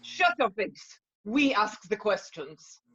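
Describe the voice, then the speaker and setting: A woman's voice: medium pitch, sing-song, slow pace, bright, forceful tone, slightly rough, dull audio, full character voice. A woman voicing an aggressive, short-tempered female penguin gangster in a comedy radio play.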